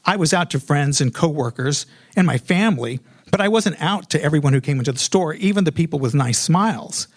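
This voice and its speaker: A man's voice: a little high, lively intonation, fairly quick. A middle-aged American man telling a personal story in a comedic, self-mocking way, animatedly acting out remembered dialogue.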